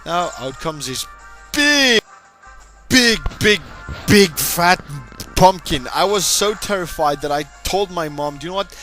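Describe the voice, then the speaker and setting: A young man commentating a video game battle, excitable and jokey, speaking to his audience as ladies and gentlemen. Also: a little high. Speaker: gaming commentator. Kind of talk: Let's Play battle commentary. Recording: music playing behind the voice.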